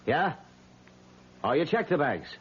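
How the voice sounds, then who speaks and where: Husky, dismissive police sergeant. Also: male voice, fairly high pitch, big pitch swings, measured pace, full character voice. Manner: offhand, patronizing. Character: dismissive, weary police sergeant humoring a madman. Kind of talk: 1970s radio drama acting. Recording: muffled old radio broadcast.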